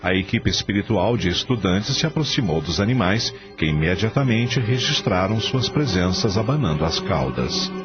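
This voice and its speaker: Deep, expressive Brazilian male narrator. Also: normal speed, few pauses. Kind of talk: scripted radio drama narration. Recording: dull lo-fi audio. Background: clear music bed.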